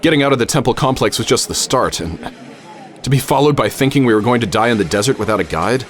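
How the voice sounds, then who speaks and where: An actor playing a witty, grandiose American professor and adventurer, speaking in flowery, theatrical phrases in a radio drama. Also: male voice, mid-range voice, lively intonation, fast, some chatter behind, lightly acted. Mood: weary relief. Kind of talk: radio drama acting and first-person narration.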